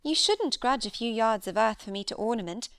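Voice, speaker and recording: A reckless, sharp-tongued young lady, voiced by a woman narrator, pitched fairly high. She answers back boldly, swinging up and down in defiance, as in a classic novel reading. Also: lively intonation, fairly quick, clear, resonant tone, dry recording, studio quality. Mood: defiant. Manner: defiant, provoking.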